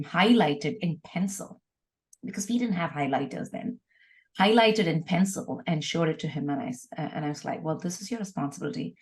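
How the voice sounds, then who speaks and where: A middle-aged woman tells personal stories as a podcast guest, acting out the exchanges in an animated way. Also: deep voice. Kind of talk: personal storytelling in an interview.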